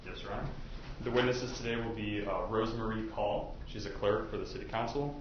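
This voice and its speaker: Casting: man, quick low-pitched prosecutor addressing a court formally, heard in a very echoey room. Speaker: prosecuting attorney. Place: indoor courtroom. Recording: very echoey, dull, noisy courtroom audio.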